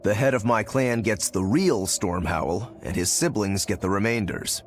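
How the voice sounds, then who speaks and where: A man's voice: lowish voice, normal speed, slight background noise, natural voice. A man playing an earnest, easygoing, determined young swordsman in a video game, speaking in a straightforward way. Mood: explaining, earnest. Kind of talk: English video game dub acting.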